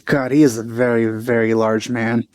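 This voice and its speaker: A man's voice: medium pitch, flat delivery, normal speed, a little mellow, clean tone, dry recording. A man giving casual, offhand commentary with dry remarks as he plays a game. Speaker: let's play streamer.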